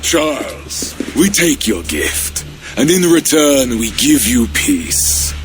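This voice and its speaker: A boastful Viking warlord. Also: male voice, mid-range voice, big pitch swings, takes it slowly, over music. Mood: threatening. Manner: menacing, mocking. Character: bold boastful Viking warlord, grown man. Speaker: raider chieftain. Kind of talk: video game cutscene acting. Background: game score.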